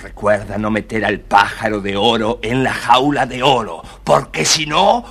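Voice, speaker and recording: A man voicing a clever, bossy fox who gives sly, emphatic instructions in a husky voice, in a children's story. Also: fairly low pitch, unhurried, fairly bright tone, heavily acted. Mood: encouraging, warning. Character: clever, bossy, helpful fox guiding a prince. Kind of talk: recorded children's storybook dramatization.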